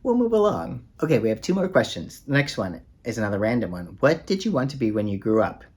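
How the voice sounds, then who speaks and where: A man's voice: mid-range voice, big pitch swings, quick pace, darkish tone, slightly rough. A man chatting casually in a book tag vlog, rambling and self-deprecating with plenty of ums and uhs. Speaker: book vlogger.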